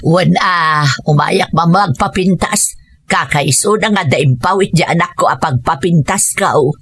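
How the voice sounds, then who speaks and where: A woman with an Ilocano accent acting a stubborn, money-minded older mother in a radio drama, husky and grumbling. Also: lowish voice, a little slow, a bit bright, heavily acted. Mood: boastful. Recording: music bed under the voice.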